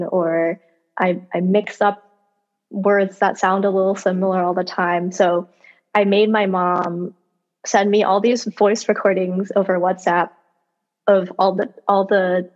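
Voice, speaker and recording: A slow-speaking woman whose first language is Cantonese, who answers questions about her work with care and a soft, easy laugh. She speaks at a middle pitch. The recording sounds a little dull and lo-fi. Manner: thoughtful, measured, light laughs. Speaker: author and audiobook narrator. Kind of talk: interview answers.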